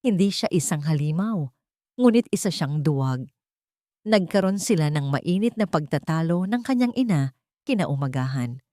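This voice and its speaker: A woman's voice: low voice, animated, moderate pace, warm tone, dry recording, clean studio sound. A woman reading scripted dramatic narration as the storyteller of an emotional fiction tale.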